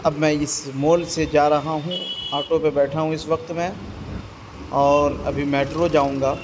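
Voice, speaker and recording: Young male vlogger, higher voice, flat delivery, phone mic, heavy crowd and traffic noise behind. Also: unhurried. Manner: talks to viewers while walking, repeats "ye dekhiye". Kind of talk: casual walking vlog. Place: large shopping mall, indoors, then street and metro. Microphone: phone held at arm's length. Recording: loud background noise from mall and traffic.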